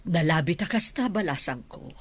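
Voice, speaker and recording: Woman acting a husky, low-voiced older mother. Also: animated, moderate pace. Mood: worried, suspicious. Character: older worried mother, plain-spoken. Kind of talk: Filipino audio drama acting.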